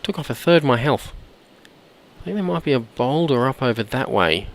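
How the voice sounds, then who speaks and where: A man with a hoarse, croaky voice from a cold, chatting casually while he plays and often clearing his throat. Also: mid-range voice, lively intonation, a little fast. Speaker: gameplay commentator. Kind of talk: casual let's-play commentary.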